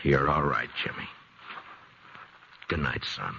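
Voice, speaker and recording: Casting: a steady frontier lawman, low and bright-edged, calm and measured, muffled vintage radio sound. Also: gravelly, slight background noise, heavily acted. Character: steady, fatherly male frontier marshal. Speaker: marshal. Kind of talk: 1950s radio drama acting. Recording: dull lo-fi vintage radio recording.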